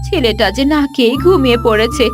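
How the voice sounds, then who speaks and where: A woman's voice: on the high side, lively intonation, fairly quick, natural voice. A woman voicing a poor, worried village wife and mother in a cartoon moral story. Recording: music clearly behind the voice.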